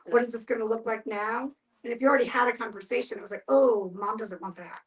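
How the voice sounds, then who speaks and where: A woman's voice: fairly high pitch, steady tone, fast. A woman speaking emphatically and explaining things in a group discussion. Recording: voice picked up from a distance.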